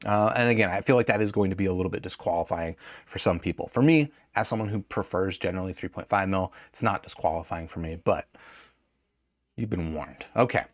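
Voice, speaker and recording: Low-voiced man, casual reviewer. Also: fairly quick, no room sound, studio quality. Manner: conversational, thinking aloud with self-corrections. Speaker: product reviewer. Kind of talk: casual unscripted tech review.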